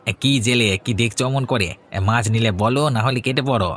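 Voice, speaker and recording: Man acting fast, pushy cartoon fishmonger. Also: mid-range voice, steady tone, a bit bright, a little hiss or hum, natural voice. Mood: brusque. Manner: pushy, persuasive, familiar. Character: brusque, persuasive village fishmonger, pushy salesman.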